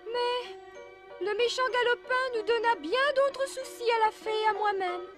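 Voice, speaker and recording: A little moralizing cartoon creature telling a story. The voice is extremely high and squeaky, fussy and gently reproving, with clear music behind. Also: measured pace. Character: tiny, fussy moralizing creature narrating the puppet's misdeeds. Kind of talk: scripted cartoon recap narration.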